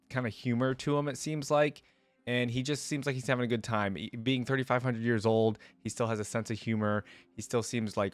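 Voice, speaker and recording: A man's voice: on the low side, no room sound. A man hosting a reaction video, giving casual commentary in slangy, informal speech. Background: faint music.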